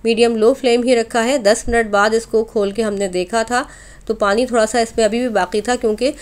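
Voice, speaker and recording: A woman's voice: on the high side, steady tone, brisk. A woman hosting a cooking channel, narrating a recipe step by step in an instructional voice-over addressed straight to viewers.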